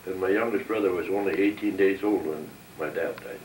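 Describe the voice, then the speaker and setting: A man's voice: low-pitched, brisk, a little mellow. An elderly man from North Dakota, recounting his life in a plain, matter-of-fact way. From North Dakota, United States. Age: 70s or older. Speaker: interviewee, war veteran. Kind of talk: oral history recollection. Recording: roomy, distant microphone, background hiss.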